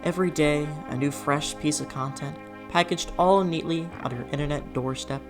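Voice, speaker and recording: A person delivering a scripted, opinionated video essay in an expressive, emphatic way with conversational asides. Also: medium pitch, normal speed. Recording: music behind the voice. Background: background music.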